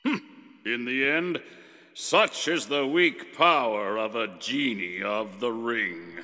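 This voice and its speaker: Slow, grandiose evil genie sorcerer. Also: male voice, medium pitch. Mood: scornful. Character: power-hungry scheming evil genie sorcerer, grand and menacing. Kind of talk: dramatic villain game acting. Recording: echoey hall with loud music behind.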